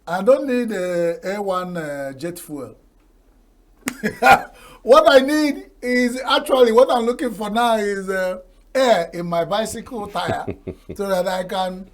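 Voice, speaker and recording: Man, older, Nigerian accent, Igbo native speaker, high voice, TV talk show guest, folksy, proverb-laden. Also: slow pace. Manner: folksy, proverb-laden, laughs at his own jokes.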